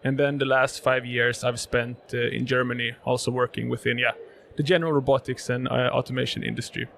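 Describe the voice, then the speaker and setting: A man's voice: mid-range voice, level tone, a little fast, gravelly, fairly bright tone, a little hiss or hum. A Swedish man whose first language is Swedish, a guest explaining robotics in an interview, with many fillers and repeated words. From Sweden. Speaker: guest, robotics sales manager. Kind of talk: conversational interview answers. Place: live event podcast booth.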